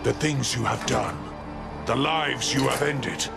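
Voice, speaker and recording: An actor playing an ancient, brooding angel archon in a fantasy game, pompous and theatrical, with a creaky, deliberate delivery. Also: male voice, medium pitch. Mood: accusing, possessive. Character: lofty, brooding male angel archon, ancient keeper of a key. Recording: loud music under the voice.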